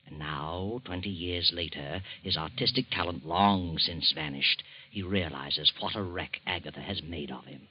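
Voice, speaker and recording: An actor playing a mysterious night-walking storyteller, narrating a 1940s radio drama in a slow, brooding way. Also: male voice, low voice, close to an everyday voice. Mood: ominous. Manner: slow, brooding, ominous delivery. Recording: dull old radio broadcast recording.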